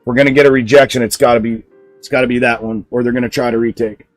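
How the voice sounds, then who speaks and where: A man hosting a live stock trading stream, talking with a loud speaking voice and answering viewers bluntly. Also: mid-range voice, fairly even intonation, quick pace, faint music behind. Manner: blunt, answers chat comments directly. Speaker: stock trading livestream host. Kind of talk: casual livestream commentary.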